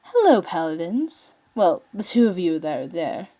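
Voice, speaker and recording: A woman voicing a smug, teasing male villain, an alien prince, in a drawn-out, taunting manner for a story reading. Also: lowish voice, very animated, dark tone.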